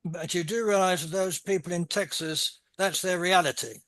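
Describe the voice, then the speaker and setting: A man's voice: high voice, fairly even intonation, normal speed, a bit bright, dry recording. A man hosting and moderating a group discussion, affirming and courteous. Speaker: host and moderator.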